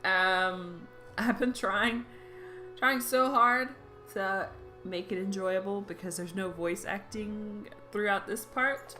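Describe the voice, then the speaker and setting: A woman in her thirties talks loosely to her viewers at the end of a gaming video. Her speech is slow and full of fillers, and her tone is bright and forceful. Faint game music plays behind her. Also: mid-range voice, fairly even intonation. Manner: chatty and rambling, with frequent fillers. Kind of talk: casual let's-play commentary.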